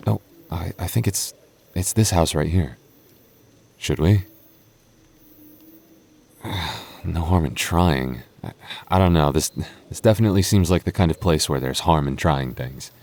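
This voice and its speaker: A man's voice: low voice, animated, vocal fry, a little mellow, exaggerated voice. A man playing an anxious, protective goth boyfriend in an audio roleplay, stammering and wry as he talks closely to his partner. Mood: wary, wry. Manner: stammering, halting, nervous, wry.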